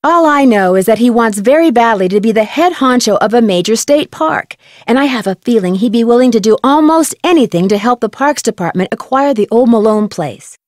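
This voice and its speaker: A woman playing a composed young female amateur detective in a video game, explaining things in a measured way. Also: on the high side, expressive, darkish tone, dry recording, studio quality, close to an everyday voice. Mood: composed, explanatory. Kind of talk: scripted video game voice acting.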